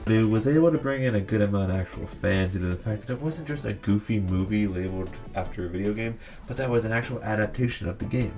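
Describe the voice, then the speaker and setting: A man with a fairly low voice delivers scripted video essay commentary. He is opinionated and sarcastic, and music plays behind him. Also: a little mellow.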